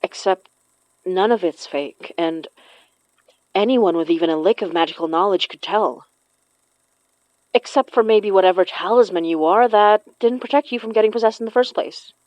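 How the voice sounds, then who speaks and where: A woman's mid-pitched voice, acted as a blunt, knowing magic practitioner in an audio drama. She is direct and probing, speaking naturally. The recording is dry and a little dull. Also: normal speed, darkish tone, no room sound, close to an everyday voice. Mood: blunt, puzzled. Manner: direct, questioning.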